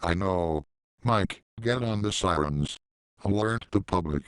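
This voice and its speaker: A man's voice: low voice, a little slow, clear, resonant tone, no room sound. A man playing a brusque, sardonic facility commander in an amateur audio drama. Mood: commanding.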